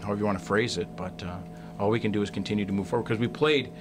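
Halting low-voiced coach at the press mic. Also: male voice, steady tone, noisy background. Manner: halting, repeats words, many restarts. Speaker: head hockey coach. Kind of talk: postgame press conference. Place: press room in front of a sponsor backdrop. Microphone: desk mic on a stand.

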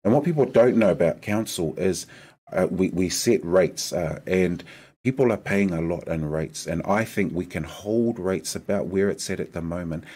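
A man's voice: deep voice, moderate pace, husky. A man, a political candidate answering interview questions earnestly in a small studio at a desk microphone. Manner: earnest, persuasive, animated hand gestures. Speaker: mayoral candidate guest. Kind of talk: conversational interview. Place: small studio with decorated backdrop.

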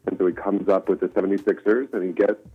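Husky, low-voiced man on a muffled call. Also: flat delivery, fast talker. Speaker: writer-producer, interview guest. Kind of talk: casual promotional interview. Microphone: remote call-in line. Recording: muffled lo-fi call, faint music.

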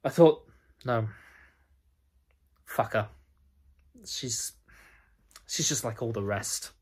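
A young man playing a lonely, self-loathing young man who tells, haltingly, how a date walked out on him; his voice is husky. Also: medium pitch, a little mellow. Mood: bitter. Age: young adult. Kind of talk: audition monologue.

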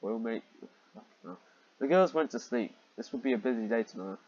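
A man with a mid-range voice reads fan fiction aloud and comments on it with weary sarcasm. He clears his throat often between phrases. The recording is dull and slightly muffled, like a cheap microphone. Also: medium pitch, lively intonation, moderate pace, a little mellow. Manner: exasperated, sarcastic, sighing asides. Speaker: host and reader of fan fiction.

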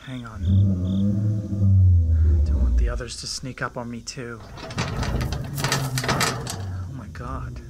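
A man's voice: medium pitch, level tone, slow, pauses often, lightly acted. A man acting a nervous park vet on a night call in a found-footage horror film, muttering observations and swearing under stress. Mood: hushed awe. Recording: loud noise, found-footage camcorder sound.

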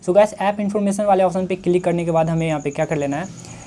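A man in his twenties with an Indian accent hosts a step-by-step tutorial. His voice is soft-edged and mellow. He talks straight to his viewers through a lapel mic. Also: high-pitched, quick pace, a little hiss or hum. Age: 20s. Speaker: tech tutorial host.

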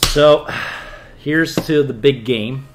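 A man's voice: mid-range voice, slow pace, music in the background. A man in his twenties co-hosting a casual film review in a small living room, talking with exasperated scorn. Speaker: film review show co-host.

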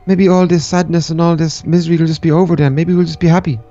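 A man's voice: fairly high pitch, little pitch movement, fast, dark tone, dull audio, close to an everyday voice. A man playing a sad, caring young boy slave who talks urgently and repeats himself, in a Minecraft roleplay. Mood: miserable, anxious. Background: music.

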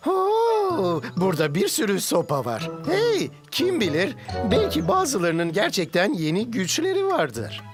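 A woman dubbing a goofy, excitable young cartoon rodent who talks in a silly, dramatic way. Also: mid-range voice, a little slow, few pauses. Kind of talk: Turkish cartoon dub. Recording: music behind.

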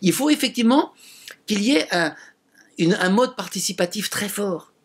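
Male elected official, sixties, high-pitched and husky, interview testimony. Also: expressive, moderate pace. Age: 60s.

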